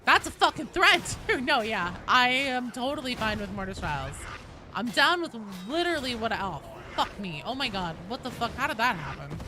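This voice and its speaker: A woman's voice: fairly high pitch, big pitch swings, normal speed, noisy background. A woman doing casual live game commentary as a streamer, chatty, exclamatory and freely swearing. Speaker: game livestreamer.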